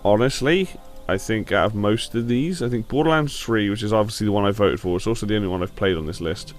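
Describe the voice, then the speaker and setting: Male gaming host, lowish voice, a bit croaky with a sore throat, informal and blunt, soft music behind. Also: fast. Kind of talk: casual opinion commentary on video games.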